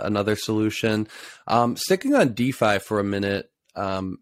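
A man's voice: on the low side, no room sound. A man hosting an interview, asking thoughtful questions with some hesitation. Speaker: podcast host.

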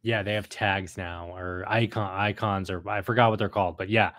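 A man's voice: lowish voice, fairly even intonation, normal speed, a bit bright, dry recording. A man co-hosting a casual gaming podcast, talking enthusiastically in a rambling way and repeating phrases for emphasis. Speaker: podcast co-host.

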